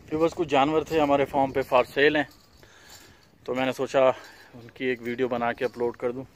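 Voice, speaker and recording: A man's voice: mid-range voice, flat delivery, moderate pace. Farm owner, male, casual outdoor vlog walkthrough, plain and repetitive delivery, mid-range voice. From Pakistan. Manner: plain, repetitive pointing out of each animal's features. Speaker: cattle farm owner showing animals for sale. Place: outdoor dusty farmyard.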